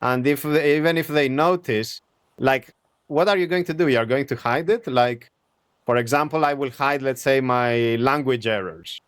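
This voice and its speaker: A man hosts a relaxed conversation, speaking with lively energy and breaking into little acted-out remarks as he makes his points. His pitch is mid-range. The sound is dry and close, with no room echo. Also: moderate pace, smooth. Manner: animated, acts out imagined quotes, laughs easily. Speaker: podcast host. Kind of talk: casual conversation.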